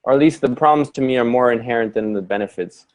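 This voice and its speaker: A man's voice: medium pitch, quick pace. A man from Canada whose first language is German, a podcast guest musing aloud and repeating words as he gathers his thoughts. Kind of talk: conversational podcast interview.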